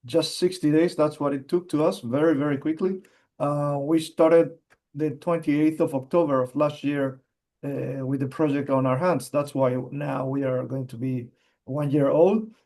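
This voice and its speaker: Argentine guy, spanish is his first language, talking about his mining project, kinda high-ish but warm dark voice, lots of uh's and restarts. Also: fairly even intonation. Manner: halting, many "uh" fillers, repeats words. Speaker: mining company executive, geologist. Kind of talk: interview answers.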